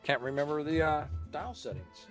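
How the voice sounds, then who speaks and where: A man's voice: on the high side, animated, normal speed, faint music behind. A man talking casually as he plays a game on stream. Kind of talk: casual gameplay commentary.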